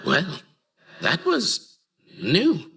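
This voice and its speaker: An aged scholarly master, pondering as he speaks. His rasp is heavy and sharp-edged, slow and halting, with expressive swells over faint music. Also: sits high, full character voice. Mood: flustered. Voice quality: raspy. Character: weary old archivist master, thoughtful time-travelling mentor. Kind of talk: fantasy audio drama acting.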